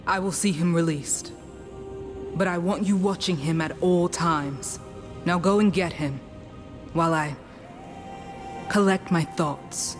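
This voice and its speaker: Slow-spoken stern rebel commander woman. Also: on the low side. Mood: troubled, commanding. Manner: deliberate, authoritative. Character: stern commanding female rebel leader, proud and resolute. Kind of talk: fantasy game voice acting. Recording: loud music behind.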